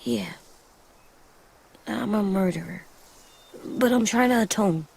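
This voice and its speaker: A woman's voice: medium pitch, very animated, takes it slowly, creaky, darkish tone, slightly rough, exaggerated voice, poor audio. A woman playing a small, troubled young boy in a dramatic video game, blunt and sincere. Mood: grave confession. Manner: blunt, earnest, childlike. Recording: music under the voice.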